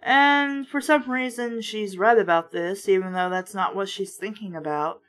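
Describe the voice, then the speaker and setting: A woman's voice: medium pitch, normal speed, a bit bright. A woman reading a storybook aloud and breaking off for casual, sarcastic, sweary commentary. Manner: blunt, sarcastic, swears freely.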